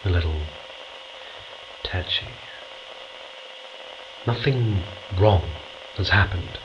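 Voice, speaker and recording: A man's voice: deep voice, lo-fi sound, noisy background. A man playing a weary, strained mission commander in a science fiction audio drama, terse and authoritative. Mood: weary, subdued. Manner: terse, authoritative, halting when reflective.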